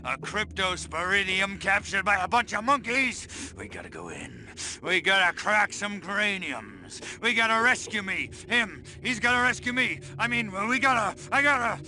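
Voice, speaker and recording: A man's voice: sits high, sing-song, moderate pace, hardly any pauses, pushed and bright, slight background noise, heavily acted. A man playing a cocky, trigger-happy alien invader in a video game, with a sardonic, slangy tough-guy drawl. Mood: fired up. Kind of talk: animated video game voice acting.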